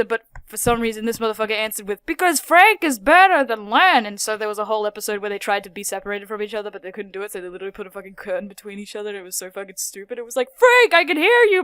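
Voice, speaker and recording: A woman giving a casual, rambling video commentary on a cartoon, swearing constantly and animated as she talks. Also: mid-range voice, smooth, dry recording. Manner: profane, animated, keeps slipping into impressions. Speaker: YouTuber reviewing a childhood cartoon.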